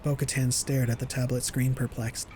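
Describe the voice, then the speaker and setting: A man's voice: medium pitch, flat delivery, moderate pace, a little mellow, slight background noise. A man narrating a scripted science fiction audio drama in the third person.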